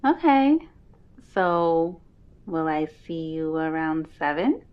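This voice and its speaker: An actress playing an obliging, easygoing friend on a dull-sounding phone call in an audio drama. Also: female voice, low voice, very animated, slow, clean tone, heavily acted.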